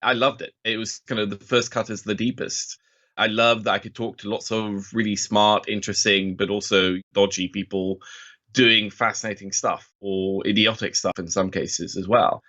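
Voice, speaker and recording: A man's voice: lowish voice, level tone, moderate pace, sharp tone, lo-fi sound. A man in his forties from Norway telling his story casually as an interview guest, enthusiastic and self-deprecating. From Oslo, Norway. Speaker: podcast guest, financial journalist.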